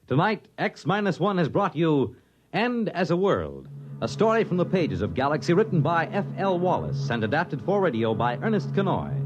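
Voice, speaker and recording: A man's voice: medium pitch, husky. A formal American man announces for old network radio. His scripted delivery is dramatic, rising and falling widely. The recording is dull and vintage, with some music behind him.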